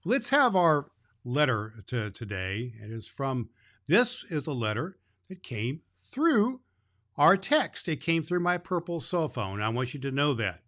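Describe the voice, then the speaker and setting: A kindly old Santa Claus, played by a man, hosting a children's Christmas show. He talks to young listeners in a cheerful, fatherly manner, leaning on key words with folksy emphasis. His voice sits at an ordinary male pitch. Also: big pitch swings, unhurried, a bit bright. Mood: cheerful, informing. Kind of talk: storytelling and chat addressed to children.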